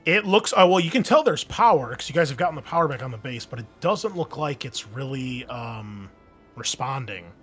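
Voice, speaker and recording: A man's voice: medium pitch, expressive, moderate pace, a bit bright. Man running a tabletop game over music. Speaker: game master of an online tabletop game. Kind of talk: casual rules talk and improvised narration.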